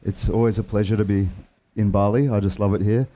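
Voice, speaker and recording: A man's voice: on the low side, steady tone, brisk, dark tone. A man telling stories from his life as an author on a festival panel, reflective and with frequent fillers. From Australia. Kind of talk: relaxed storytelling.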